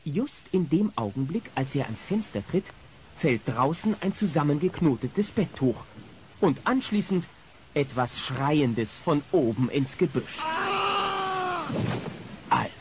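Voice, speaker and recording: A man's voice: a little high, very animated, slow, long pauses, a little mellow, a little hiss or hum, rough recording. A man narrating a comedy audio drama in a scripted, wry storytelling manner.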